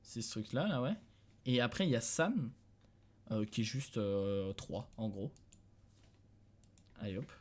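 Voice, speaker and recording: A man's voice: mid-range voice, big pitch swings, measured pace, long pauses. A young man from France streaming from a dim room at home, thinking aloud in casual commentary, chuckling and talking to his chat.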